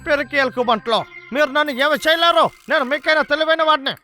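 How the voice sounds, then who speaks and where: A man voicing a scheming, excitable cartoon logger who grumbles and boasts to himself in an exaggerated way. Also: very high-pitched, fast, music in the background. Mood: gloating, eager. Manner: exaggerated, talks to himself, chuckling. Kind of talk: cartoon dub acting.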